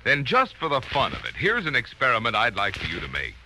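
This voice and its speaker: A man's voice: mid-range voice, wildly swooping pitch, a little fast. An American man announcing a 1940s radio commercial with polished, upbeat salesmanship on a crackly old recording. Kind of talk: 1940s radio advertising pitch.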